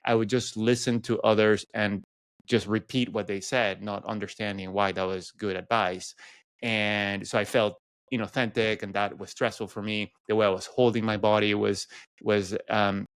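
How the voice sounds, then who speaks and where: Smooth, low-voiced man from Venezuela. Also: little pitch movement, moderate pace, no room sound. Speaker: podcast guest. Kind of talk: personal storytelling in an interview.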